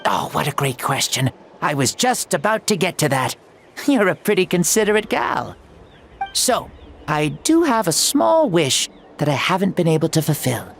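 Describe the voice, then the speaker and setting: An actor voicing a lively boastful ancient warrior. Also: mid-range voice, normal speed, vocal fry, a little hiss or hum. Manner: playful, teasing, grandly theatrical. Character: ancient male warrior hero, jovial and boastful. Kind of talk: game cutscene voice acting.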